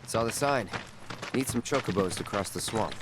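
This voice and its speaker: A man's voice: lowish voice, animated, normal speed, background noise, close to an everyday voice. An actor playing a cool young male mercenary in a video game, curt and matter-of-fact. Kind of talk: video game cutscene acting.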